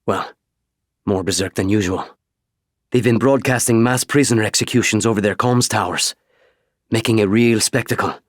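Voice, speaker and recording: Jittery male pilot played by a man, animated and eager, close dry sound, audiobook dialogue. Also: fairly low pitch, moderate pace, long pauses, no room sound, close to an everyday voice. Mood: grim, urgent.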